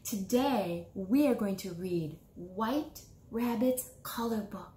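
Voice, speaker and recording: A woman in her forties doing an animated storytime read-aloud for young children, expressive and sing-song, with drawn-out words. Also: medium pitch. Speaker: children's author reading a picture book aloud. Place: small indoor room, seated before a bulletin board. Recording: roomy small-room echo.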